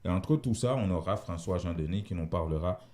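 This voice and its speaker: A man's voice: low-pitched, lively intonation, brisk, darkish tone. A man hosting a radio news show, talking listeners through items in a conversational, explanatory way.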